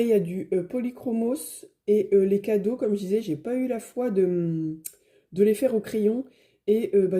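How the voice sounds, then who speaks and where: A hoarse middle-aged woman chatting casually to her phone at her desk, rambling with lots of fillers and restarts. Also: mid-range voice, dark tone. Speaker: vlogger. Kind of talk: casual chat.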